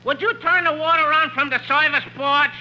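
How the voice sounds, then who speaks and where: A man's voice: extremely high pitch, a little fast, sharp tone, heavily acted. A man playing a cheeky, dim-witted plumber in 1950s radio comedy, talking back brazenly. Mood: brazen, insistent. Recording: very noisy vintage radio recording.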